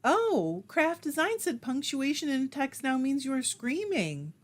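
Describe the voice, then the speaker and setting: A woman's voice: high voice, sing-song, measured pace. Chatty woman hosting a livestream. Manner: chatty and self-deprecating, laughs often. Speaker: livestream host. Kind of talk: casual livestream chat, reading viewer comments aloud.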